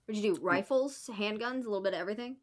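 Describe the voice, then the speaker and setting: A woman's voice: medium pitch, rapid-fire. A woman in her forties chatting casually as a podcast panelist. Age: 40s. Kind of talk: casual chat.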